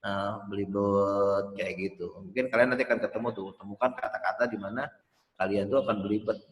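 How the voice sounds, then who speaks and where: A man's voice: fairly low pitch, steady tone, fairly quick. A man giving a casual webinar talk on emcee skills, rambling a little and sounding out tricky words as examples. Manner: loose, rambling explanations with spoken pronunciation demos. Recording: roomy with long reverb.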